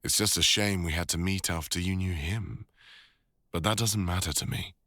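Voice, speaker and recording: A man with a deep British voice playing a devoted, teasing older boyfriend and father in an intimate ASMR audio roleplay. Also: normal speed, bright, forceful tone, no echo, clean studio sound. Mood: tender, apologetic.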